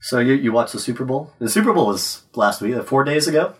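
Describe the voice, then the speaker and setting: A young man co-hosting a podcast, his voice stuffed up and nasal from a cold. He talks in a laid-back, offhand way with a lively, swinging pitch, sitting a little back from the mic. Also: distant mic. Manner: laid-back, swears casually, quick asides. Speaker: podcast co-host. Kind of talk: casual chat.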